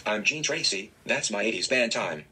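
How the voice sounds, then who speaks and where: A man's voice: lowish voice, lively intonation, normal speed, pushed and bright, slightly rough, full character voice. A synthetic male text-to-speech voice playing a crude, foul-mouthed truck-driver comedian in a robotic delivery.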